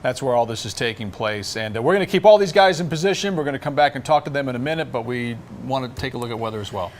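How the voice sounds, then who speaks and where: Male news anchor with a medium-pitched voice. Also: lively intonation, fast talker. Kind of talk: live breaking-news anchoring, ad-libbed.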